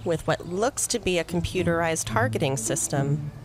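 An actress playing a sharp, playful police investigator in an audio drama, matter-of-fact and wry. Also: female voice, on the low side, lively intonation, moderate pace, music in the background, lightly acted.

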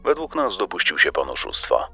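An actor playing a stern, formal television executive in a true-crime dramatization. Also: male voice, rumbling low, animated, a little fast, gravelly, sharp tone, husky, soft background music, heavily acted. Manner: formal, official. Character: stern male TV executive announcing an accusation.

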